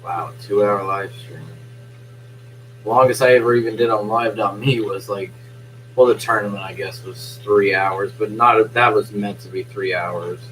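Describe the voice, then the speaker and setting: A young man losing his voice, talking quietly while streaming a live play-by-play of a game from home at night. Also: on the low side, steady tone, measured pace, slight background noise, rough recording. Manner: quiet, subdued talk. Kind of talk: casual live-stream sports commentary.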